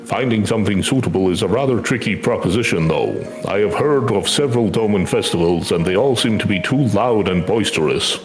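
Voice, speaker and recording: A man voicing a courteous, thoughtful builder from a fantasy game, speaking in a measured, formal, polite way. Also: on the low side, lively intonation, faint music behind, lightly acted, low-quality recording. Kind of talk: amateur game dialogue read-aloud.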